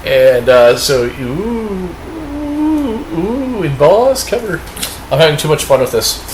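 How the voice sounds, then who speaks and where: A man's voice: high-pitched, big pitch swings, slow pace, slight background noise. Rambling, upbeat Canadian man, echoey room. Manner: rambling and upbeat, with many fillers. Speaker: comic book reviewer. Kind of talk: casual show-and-tell chat.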